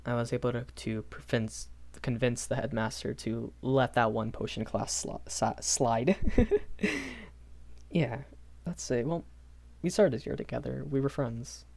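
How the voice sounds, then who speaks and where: A man's voice: medium pitch, fairly even intonation, a little slow, gravelly, darkish tone. A young man playing a shy, kindly magic academy professor in a rambling audio roleplay, stammering and hesitant. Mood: flustered, reassuring. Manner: hesitant, stammering, nervous chuckles, apologetic.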